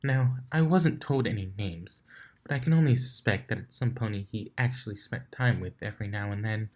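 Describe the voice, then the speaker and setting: A man's voice: fairly low pitch, moderate pace, a little mellow, natural voice. A man voicing a genteel, teasing female unicorn who loves gossip and drama, in a playful, affected way for a fan fiction reading. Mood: coy.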